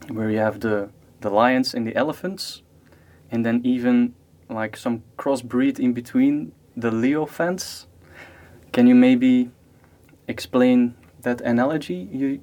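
A man's voice: medium pitch, a little mellow. A man hosting a podcast interview, asking his questions in a conversational way with restarts and fillers. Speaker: podcast host.

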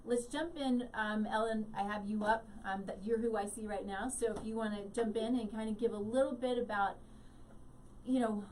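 A woman's voice: on the high side, steady tone, normal speed, darkish tone. A woman hosting a live show, bubbly and laughing, rambling with fillers as she introduces her guests. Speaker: host. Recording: distant mic, faint noise.

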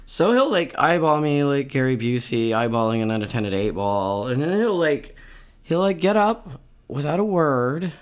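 A woman acting an evasive, spacey rock star in a comedic radio play, speaking in a halting, vague deadpan. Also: rumbling low. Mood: halting recollection. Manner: halting, vague, filler-heavy hedging.

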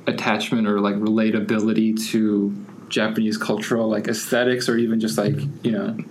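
A young man in easy conversation, circling his thoughts and peppering nearly every phrase with "like." His voice sits fairly low. A faint background hiss stays under the talk. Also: flat delivery, a little fast, rough recording. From United States. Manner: rambling, heavy use of "like" fillers. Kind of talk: casual conversation.

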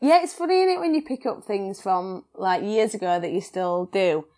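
A woman's voice: mid-range voice, expressive, moderate pace, darkish tone. A young woman with a Yorkshire accent, chatting casually as a podcast guest, laughing often and swearing freely. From England. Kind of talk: casual chat.

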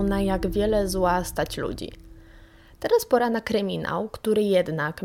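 A woman's voice: mid-range voice, darkish tone. Enthusiastic woman reviewing books on radio. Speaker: radio host recommending books. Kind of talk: radio book review monologue.

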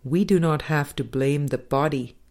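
A woman narrating a spiritual audiobook, reading scripted text in measured, deliberate phrases. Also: sits low, a little mellow. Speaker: audiobook reader. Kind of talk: scripted spiritual book narration, read aloud.

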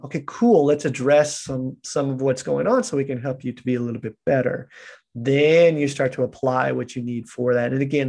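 Gravelly-voiced man coaching in casual talk. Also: mid-range voice, a little mellow. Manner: earnest, coaching, acts out inner dialogue. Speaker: podcast guest, fitness coach. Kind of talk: casual explanatory chat.

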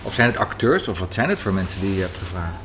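A man's voice: deep voice, lively intonation, fast talker. A man from the Netherlands interviewing conversationally, inquisitive and thinking aloud in short phrases. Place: café, indoors. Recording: clear café background noise. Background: café noise.

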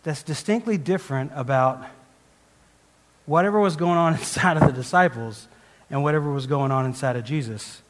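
A man's voice: on the high side, moderate pace. A man preaching a conversational sermon as a guest speaker, telling a personal story in a rambling, self-deprecating way with frequent restarts and laughs. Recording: long hall reverb.

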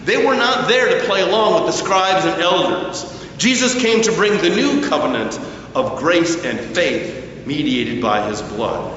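A man delivering a sermon as a preacher, with deliberate phrasing and emphatic pauses. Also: on the high side, animated, a bit bright. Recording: very echoey hall, distant, slightly dull, faint noise.

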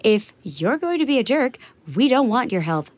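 Comic audio drama: nerdy, indignant young American woman, wordy, emphatic, very animated pitch. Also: normal speed.

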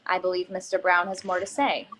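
A woman's voice: fairly low pitch, monotone, brisk, fairly bright tone, slight background noise, natural voice. A woman playing a stern, accusing interrogator in a play reading. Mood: controlled, probing.

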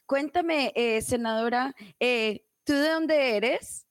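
A woman hosting an interview, asking curious questions with many fillers and quick backchannels. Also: sits high, smooth, no room sound, studio quality. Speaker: interview host.

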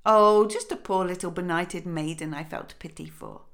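A woman's voice: on the low side, moderate pace, fairly bright tone, close to an everyday voice. A woman reading a fairy tale voices a motherly village shopwoman with a coaxing manner. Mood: kindly.

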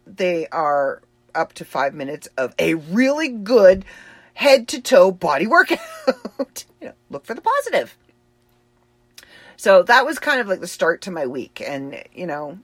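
A woman's voice: lowish voice, slightly rough, dry recording. A woman with a Boston accent giving a rambling, chatty personal update, laughing easily as she talks. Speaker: author and livestream host.

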